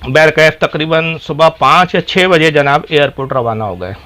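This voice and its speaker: Man, slightly high voice, formal articulate diction, sarcastic cleric telling his side of a dispute. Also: moderate pace, pushed and bright. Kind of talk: recounting a dispute on a phone call.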